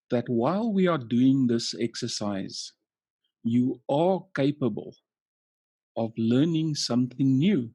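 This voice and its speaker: A man leading a guided hypnotic relaxation as a coaching trainer, soothing and measured, leaving long pauses between short phrases. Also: medium pitch, dark tone, slightly rough, no room sound.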